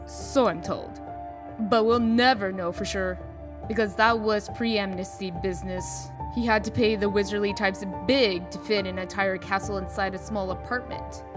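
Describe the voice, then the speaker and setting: A woman playing a composed, businesslike official in a comic dub, with a measured manner. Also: medium pitch, steady tone, music in the background, natural voice. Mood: informative, discreet.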